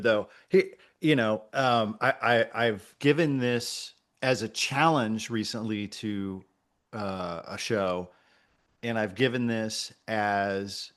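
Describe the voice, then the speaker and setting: Male coach, lowish voice, thoughtful and encouraging, conversational feedback with pauses. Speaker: radio coach.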